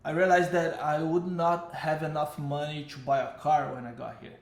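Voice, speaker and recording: A man's voice: fairly high pitch, level tone, moderate pace, reverberant. Brazilian-accented man telling his story. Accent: Brazilian Portuguese. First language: Portuguese. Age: 30s. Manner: rambling, self-correcting, frequent "like" and "uh". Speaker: vlogger. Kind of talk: casual personal storytelling.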